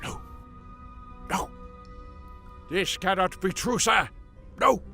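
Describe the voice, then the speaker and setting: A flustered, fussy Time Lord with the ways of an old gentleman , acted by a man. His voice sits fairly high and bright for a man, and he dithers and hems his way through sentences over faint music. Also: creaky, exaggerated voice. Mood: dismayed, dithering. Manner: hesitant, halting, fussy, adding "hmm?" and "my dear". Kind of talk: audio drama acting.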